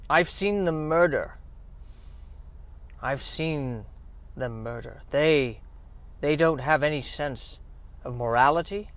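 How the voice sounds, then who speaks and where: A man playing an eccentric old mill owner, a slow, halting storyteller in a spoof fifties sci-fi radio play. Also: a little high, a bit bright, dry recording. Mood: ominous warning.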